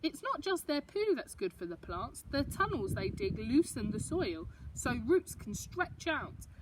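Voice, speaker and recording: Woman acting kindly storybook grandmother, knowing and patient, very animated pitch, some background noise. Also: unhurried. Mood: earnest, explaining. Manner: patient, explaining. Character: knowing, kindly grandmother who loves worms. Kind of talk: children's storybook character reading.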